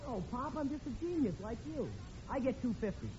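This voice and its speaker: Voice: medium pitch, animated, a little fast, natural voice. A performer playing a cheeky, worried fourteen-year-old son in a 1940s radio comedy, eager and nagging. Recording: very noisy, muffled old radio broadcast.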